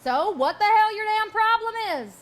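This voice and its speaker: A woman's voice: very high-pitched, expressive, moderate pace. A woman playing a blunt, folksy, cranky starship doctor in a comic stage parody. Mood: blunt, exasperated. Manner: blunt, exasperated.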